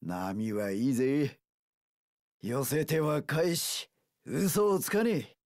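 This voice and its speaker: An actor voicing a brash, rough-talking young pirate warlord in a video game, gravelly, with delinquent gang-boss speech and drawn-out battle cries. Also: male voice, medium pitch, no echo, studio quality. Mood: low, brooding. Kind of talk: video game character voice lines.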